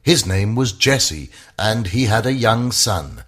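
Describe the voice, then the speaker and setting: A man narrating a rhyming children's bedtime story in a theatrical, sing-song way, with a husky voice. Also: on the low side, expressive, takes it slowly, a bit bright.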